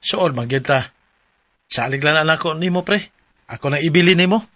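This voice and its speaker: An actor with a Cebuano Filipino accent playing a friendly, persuasive buddy in a radio drama, with a chummy, coaxing manner. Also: male voice, fairly high pitch, normal speed, a bit bright, no echo. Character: friendly, persuasive male buddy pawning his land.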